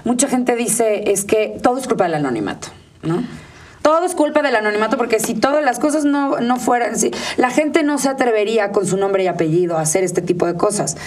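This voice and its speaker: A woman's voice: on the high side, expressive, a little fast, darkish tone, far from the mic. Woman, Mexican accent, interview guest, outspoken, emphatic, conversational expert delivery. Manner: emphatic, outspoken, mimics others in quotes. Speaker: interview guest, digital rights advocate.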